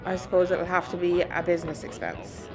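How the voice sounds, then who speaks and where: A woman's voice: lowish voice, lively intonation, brisk. A woman acting as a blunt, no-nonsense, sweary young crew boss in an audio drama. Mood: irritated. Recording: music under the voice.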